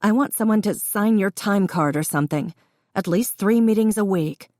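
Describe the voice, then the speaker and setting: A woman's voice: mid-range voice, normal speed, a little mellow, no echo, studio quality, pitched above the performer's own voice, close to an everyday voice. A woman voicing a caring but firm grown daughter in an audiobook, plain-spoken. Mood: firm, warning. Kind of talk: audiobook character dialogue.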